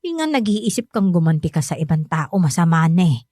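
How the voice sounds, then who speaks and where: An actress playing a gentle, sorrowful middle-aged mother who pleads softly and hesitantly with her grown son in a radio drama. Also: on the low side, animated, fairly quick, dry recording, studio quality. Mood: gently admonishing. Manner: soft, pleading, hesitant confessions.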